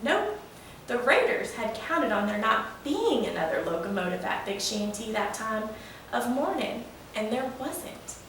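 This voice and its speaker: A woman's voice: on the high side, sing-song, a little slow, fairly bright tone. A woman voicing a proud, folksy old male steam locomotive in a children's storybook, telling a tale eagerly. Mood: folksy, recounting. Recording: echoey room, distant mic, faint noise.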